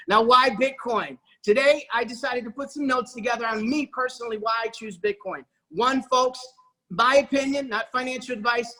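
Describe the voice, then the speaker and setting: A man's voice: extremely high pitch, sharp tone, poor audio. A man giving an enthusiastic sales presentation, eagerly addressing his listeners as folks and often restarting with repeated words. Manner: frequent repeated-word restarts. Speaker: presenter pitching an investment opportunity.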